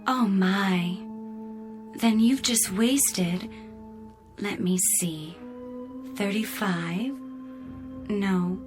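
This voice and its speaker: A woman playing a spaceship's computer in a radio drama, measured, precise and over-polite, with a coolly needling solicitude. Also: medium pitch, music in the background. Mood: coolly teasing.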